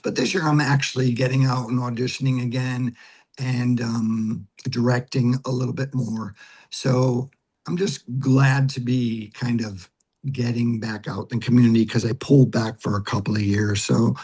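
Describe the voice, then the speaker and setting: A man talks in an interview as an acting teacher and director. His voice sits at a middle pitch with a slightly rough, unpolished edge. He explains in a conversational but emphatic way, repeating short phrases to make his point. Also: flat delivery, normal speed, a little mellow.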